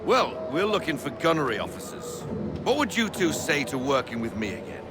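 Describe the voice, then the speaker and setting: A man's voice: on the high side, very animated, moderate pace, very noisy. An actor playing a hearty older sea captain in a video game, rousing and salty as he mentors a young sailor. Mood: genial. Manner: rousing, salty nautical talk.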